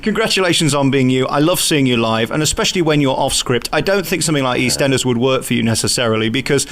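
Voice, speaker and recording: A man's voice: mid-range voice, lively intonation, quick pace, a bit bright. A man with an English accent hosting a casual celebrity interview, chatty and full of compliments for the guest. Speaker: radio interviewer, host.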